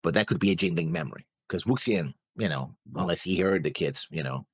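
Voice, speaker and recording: A man's voice: low voice, lively intonation, brisk, slightly rough, no echo, studio quality. A man co-hosting a reaction show, casually discussing and questioning, pushing back on points. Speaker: co-host of an anime reaction show.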